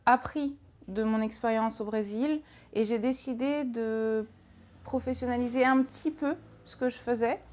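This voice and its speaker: Woman in her 30s talking about her work, calm and measured, voice sits a bit high. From France. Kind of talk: interview.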